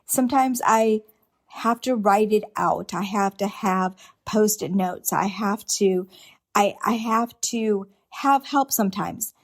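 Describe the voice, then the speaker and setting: Slow-talking earnest woman podcaster. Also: medium pitch. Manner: earnest, unscripted, many restarts and rhetorical questions. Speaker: podcast host. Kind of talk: reflective solo podcast monologue.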